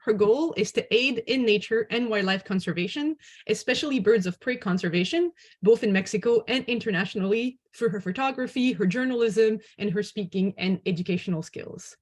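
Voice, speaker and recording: A woman's voice: mid-range voice, fairly quick. A woman hosting a dialogue, thanking and introducing speakers. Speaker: host, moderator.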